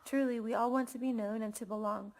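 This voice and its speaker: A woman's voice: a little high, steady tone, a little fast, a little mellow. A woman with an American accent reading a scripted personal reflection as a student presenter. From United States.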